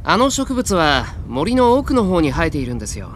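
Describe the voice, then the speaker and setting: Audio drama casting: sadistic prankster fairy, high voice, hugely varied pitch, polite yet mocking delivery. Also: male voice, big pitch swings, moderate pace, a little hiss or hum. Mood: calm, polite. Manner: polite speech with mocking amusement. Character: mischievous, sadistic male fairy, gleefully amused by humans. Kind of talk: anime-style audio drama acting.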